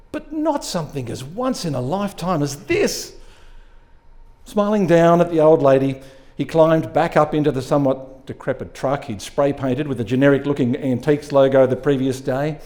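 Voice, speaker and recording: Mellow man reading a short story. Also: medium pitch. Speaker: story reader. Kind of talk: expressive live short-story narration.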